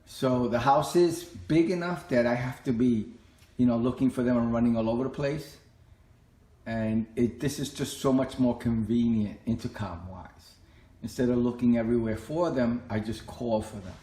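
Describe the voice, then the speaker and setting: A man's voice: mid-range voice, normal speed, mellow. A middle-aged man giving a casual, plainspoken walkthrough in a conversational, repetitive way. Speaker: home tech vlogger. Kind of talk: casual explanatory home walkthrough. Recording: echoey indoor room.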